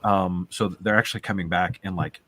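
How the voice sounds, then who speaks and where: A man chatting casually and explaining things in a halting, informal way. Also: low-pitched, steady tone, pushed and bright.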